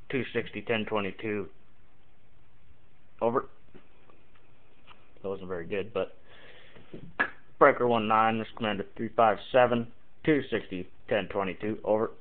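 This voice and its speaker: A man giving an informal, halting tutorial, thinking aloud as he explains and demonstrates example radio calls. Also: fairly low pitch. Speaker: amateur CB radio tutorial maker. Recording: muffled, tinny phone-like lo-fi audio.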